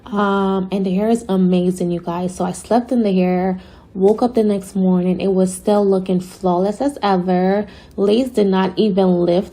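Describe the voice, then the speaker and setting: Raspy young woman, gravelly late-night voiceover, chatty and gushing. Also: mid-range voice, warm tone, echoey room. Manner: chatty, gushing, says "you guys" often. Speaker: beauty vlogger. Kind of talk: casual product review voiceover. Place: home at night, sirens outside.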